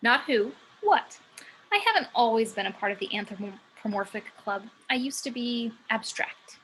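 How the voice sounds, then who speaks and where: A woman's voice: a little high, expressive, moderate pace, fairly bright tone, a little hiss or hum, low-quality recording. A woman playing a cocky, sardonic muse who works as a stripper, in a script table read. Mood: smug. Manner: sardonic, brash. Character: sassy, cocky female muse working as a stripper.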